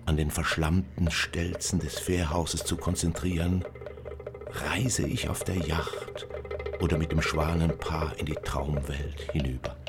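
A man narrating a scripted literary text in a measured, poetic manner. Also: rumbling low, flat delivery, over loud music.

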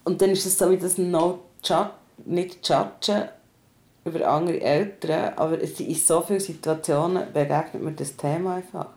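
A woman's voice: fairly low pitch, steady tone, normal speed, darkish tone, husky. A woman with a Swiss German accent hosting a podcast about parenting in a candid, colloquial, conversational way.

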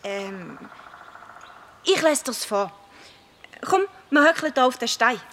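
A woman's voice: fairly high pitch, animated, slow pace, a bit bright, exaggerated voice. A female performer playing an earnest, concerned young girl in a children's radio play, with a Swiss German accent.

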